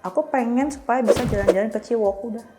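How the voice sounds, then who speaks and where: A woman's voice: fairly high pitch, fast, over music. A young woman, chatting candidly and self-deprecatingly as she tells her own story as a podcast guest. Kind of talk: casual personal storytelling.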